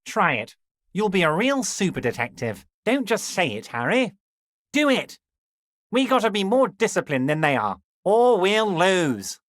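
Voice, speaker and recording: A man's voice: high-pitched, very animated, unhurried, a bit bright, dry recording, studio quality, full character voice. A male actor playing a smug, wily, older union boss in a video game, chummy, sly and full of mock innocence. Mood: earnest, scheming. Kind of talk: video game dialogue acting.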